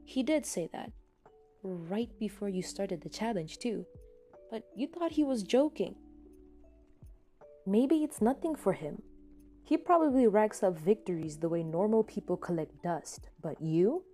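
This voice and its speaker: Woman, scripted story narration, soft mellow tone, light music underneath. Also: medium pitch, lively intonation, unhurried. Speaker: podfic narrator. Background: soft background music.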